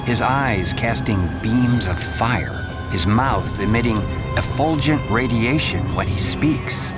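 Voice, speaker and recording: A man's voice: medium pitch, takes it slowly, natural voice. A man playing the grave, theatrical narrator of a science-fiction radio drama, reading florid scripted prose. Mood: grave, awed. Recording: loud music and effects behind.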